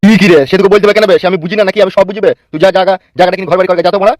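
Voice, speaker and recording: A man's voice: high-pitched, fairly even intonation, rapid-fire, dry recording, studio quality, close to an everyday voice. A man playing a lecherous, bullying village politician in a Bengali cartoon, brusque and domineering, with a rural West Bengal accent. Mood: bullying, impatient. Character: lecherous, bullying male village politician, foul-mouthed and scheming. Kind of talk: crude comic cartoon dialogue. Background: background music.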